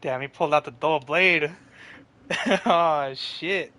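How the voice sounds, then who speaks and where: A man reacting casually to gameplay as a live streamer, slangy and excitable. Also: on the high side, slow pace. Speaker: game streamer. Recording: game music behind the voice.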